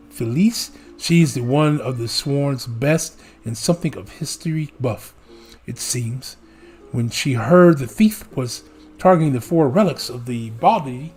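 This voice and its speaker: A man reading aloud as an eager, chatty reformed male thief turned guard, in a rough put-on dialect. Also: medium pitch, a little mellow.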